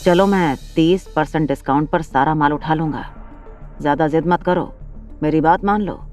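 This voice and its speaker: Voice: medium pitch, normal speed, darkish tone, soft background music, close to an everyday voice. A narrator voicing a scheming middle-aged businessman who bargains pushily in an audio drama. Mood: threatening. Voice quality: smooth, pushy bargaining.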